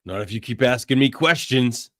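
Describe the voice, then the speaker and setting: A man playing a gruff, sardonic, impatient mutant fighter in a radio theatre read. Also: medium pitch, lively intonation, moderate pace, no room sound, clean studio sound, lightly acted. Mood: irritated. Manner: curt, sardonic.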